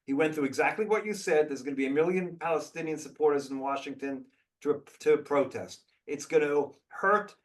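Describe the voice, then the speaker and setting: A man's voice: fairly high pitch, a little fast, distant mic. A man hosting a video call briefing, answering questions bluntly and thinking aloud with restarts.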